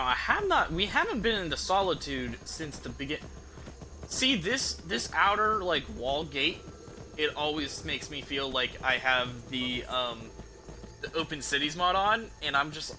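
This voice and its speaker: Bright-voiced guy rambling over a game. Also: fairly high pitch, a little hiss or hum, low-quality recording. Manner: rambling, halting, thinking aloud. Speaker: video game streamer. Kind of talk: casual role-play game commentary.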